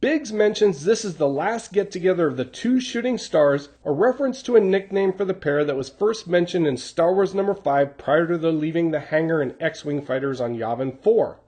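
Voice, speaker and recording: Man, scripted recap narration, high-pitched, very varied intonation, soft dark tone. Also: animated, moderate pace, few pauses. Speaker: narrator, comics channel host.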